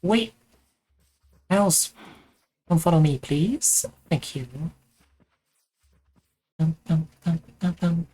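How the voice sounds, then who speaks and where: A woman's voice: fairly low pitch, drawn-out words, long pauses, warm tone, breathy edge. A woman from Germany with a German accent, chatting casually and rambling with self-mocking humor while she streams a game. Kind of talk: casual gaming chat.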